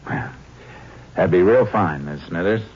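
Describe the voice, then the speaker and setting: Very deep-voiced, laconic American Texas Ranger. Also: male voice, a little slow, loud music behind the voice. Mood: grave, respectful. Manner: laconic, courteous, plain-spoken. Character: male Texas Ranger, polite, grave, quietly determined investigator. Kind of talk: 1950s radio drama acting with first-person narration. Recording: muffled, hissy old-time radio recording.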